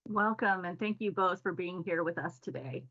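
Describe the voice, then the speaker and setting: A woman's voice: lowish voice, moderate pace, a bit bright, lo-fi sound. A woman hosting a panel discussion, reading formal introductions and questions. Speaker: panel moderator.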